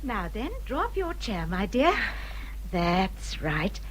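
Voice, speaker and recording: A shrewd Regency gentlewoman in a radio play, over faint background noise. Her English voice is mid-pitched and knowing. She talks formally yet confidingly, with a teasing edge. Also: very animated, slow pace. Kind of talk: period radio drama acting.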